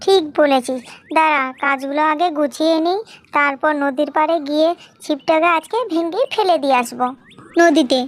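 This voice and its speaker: Extremely high voice, scolding cartoon wife a woman acting, bossy, nagging, exclamatory, fairy-tale dub. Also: normal speed, lightly acted.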